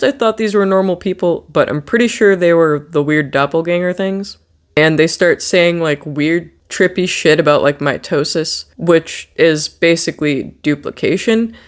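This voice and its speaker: A horror movie reviewer giving a casual, rambling recap with plenty of swearing. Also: mid-range voice.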